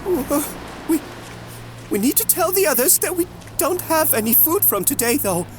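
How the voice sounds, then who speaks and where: A woman's voice: high-pitched, very animated, moderate pace, noisy background. A woman playing a worried, questioning female cave-dweller with formal wording in a fantasy audio drama. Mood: concerned.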